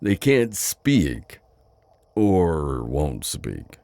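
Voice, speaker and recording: Deep, gravelly, suave demon played by a man. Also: wildly swooping pitch, mellow, no room sound, full character voice. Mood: skeptical, probing. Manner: flirty, sardonic, hesitant pauses and drawn-out words. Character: suave, skeptical male demon buyer at a shady auction. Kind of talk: ASMR audio roleplay acting.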